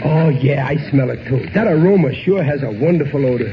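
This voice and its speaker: A man's voice: medium pitch, expressive, brisk, over music, natural voice. An actor playing a cocky, dim but big-hearted working-class father in a 1940s radio comedy. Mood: hearty bluffing. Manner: pompous malapropisms, cocky scheming. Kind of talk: 1940s radio sitcom acting. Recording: old muffled radio broadcast, noisy hiss.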